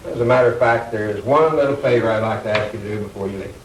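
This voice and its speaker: An actor playing a coaxing, businesslike American newspaper editor in a 1960s B-movie. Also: male voice, lowish voice, racing, close to an everyday voice. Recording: echoey, distant, noisy old film soundtrack.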